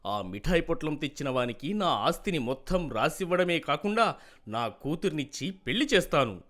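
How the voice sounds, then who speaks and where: A man's voice: fairly high pitch, big pitch swings, normal speed, a bit bright. A man voicing a devoted, desperate landlord father in a storybook tale, pleading and emphatic. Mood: desperate resolve. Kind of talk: dramatic storybook character acting.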